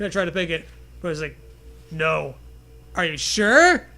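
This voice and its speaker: A man's voice: fairly high pitch, sing-song, unhurried, sharp tone. A man co-hosting a comedy show, riffing casually with sarcastic, mock-outraged and often profane remarks. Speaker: co-host reacting to a story.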